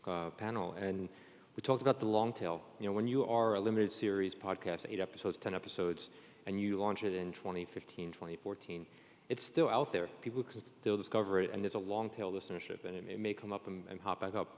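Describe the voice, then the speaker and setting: A man's voice: low voice, steady tone, fairly quick, darkish tone. A man moderating a panel, putting rambling questions that he restarts and rephrases as he goes. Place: indoor conference panel hall. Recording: reverberant hall.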